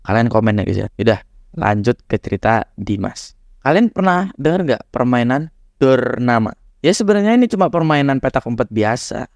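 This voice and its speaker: A young man, hoarse and nasal from a cold, chatting casually to viewers as he tells a horror story. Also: medium pitch, big pitch swings, moderate pace, darkish tone, no echo, studio quality. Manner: chatty, addresses viewers as guys. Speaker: horror storyteller, channel host.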